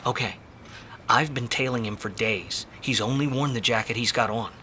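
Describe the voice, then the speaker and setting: A hard-boiled Hollywood private eye from the 1940s, played by a man, with a bright, forceful edge on a mid-pitched voice. He is wry, self-deprecating and smooth-talking, in the manner of an old noir radio drama. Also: moderate pace, slight background noise, natural voice. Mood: urgent, persuading. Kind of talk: 1940s radio noir acting and first-person narration.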